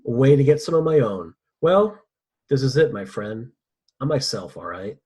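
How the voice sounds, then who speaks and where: A man performs a ruthless mercenary villain in a dramatic script read. The voice is rough and husky, mid-pitched and swinging widely in intonation. The manner is smug, cynical and sardonic. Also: big pitch swings, moderate pace, a little mellow. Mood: bitter defiance. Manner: sardonic, profane.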